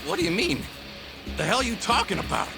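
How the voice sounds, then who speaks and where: An actor playing a brash, foul-mouthed thug in a video game cutscene, aggressive and indignant. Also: male voice, high-pitched, wildly swooping pitch, fairly quick, gravelly, over music, exaggerated voice, poor audio.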